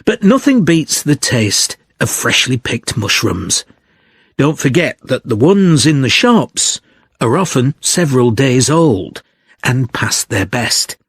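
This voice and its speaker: An author doing an instructive talk, slow and measured, slightly husky, scripted exam audio. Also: male voice, mid-range voice, very animated, no echo, studio quality, full character voice. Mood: measured, advising. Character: male author giving practical advice on wild mushrooms. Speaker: guest speaker on foraging. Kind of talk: informational talk, scripted exam listening recording.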